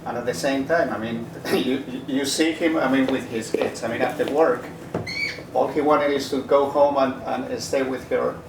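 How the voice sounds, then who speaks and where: A man of middling pitch gives a personal interview in a halting way, leaning on filler phrases. He sounds roomy and away from the mic, with clear noise behind him. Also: fairly even intonation. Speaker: interviewee, relative of a missing person. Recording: roomy, distant mic, noisy.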